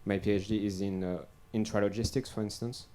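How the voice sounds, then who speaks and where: Young male researcher on a panel, low and even delivery, hesitant. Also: brisk. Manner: hesitant, many fillers and restarts. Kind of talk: panel discussion answer.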